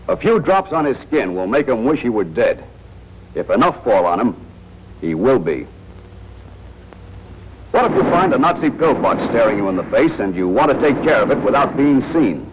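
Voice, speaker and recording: An American man narrating a 1940s military training film in a tough, wry, slangy manner, speaking directly to soldiers. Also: mid-range voice. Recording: noisy 1940s film soundtrack.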